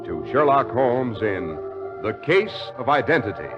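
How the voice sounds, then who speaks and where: A man's voice: mid-range voice, very animated, measured pace. A male announcer reading formal scripted radio credits and sponsor copy. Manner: smooth, formal. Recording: dull old radio recording with loud music.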